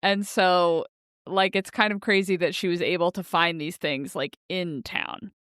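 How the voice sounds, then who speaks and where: A woman's voice: on the low side, steady tone, a little slow, fairly bright tone, clean tone, no room sound, clean studio sound. A woman co-hosting a podcast in casual book chat, wry and joking, with frequent laughter. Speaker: podcast co-host.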